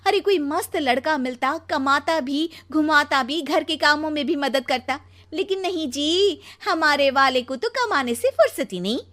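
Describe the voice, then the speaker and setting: Woman acting a high, nagging young wife. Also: lively intonation, normal speed, a bit bright. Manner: complaining, exclamatory. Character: demanding, nagging young wife, sharp-tongued.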